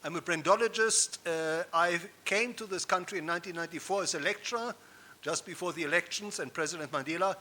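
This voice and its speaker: A man's voice: sits high, fairly quick, sharp tone. A man in his fifties with a German accent, giving a rhetorical, emphatic keynote speech on a conference stage. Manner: rhetorical, emphatic, with audience asides. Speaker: keynote speaker.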